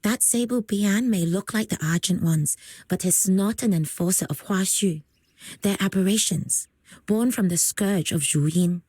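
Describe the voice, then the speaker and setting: A kindly, composed young game character, talks in a mid-pitched, slightly husky voice. The manner is calm, polite and explanatory, in a dry recording. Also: female voice, a little slow, dry recording. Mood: grave, explanatory. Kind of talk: video game character acting.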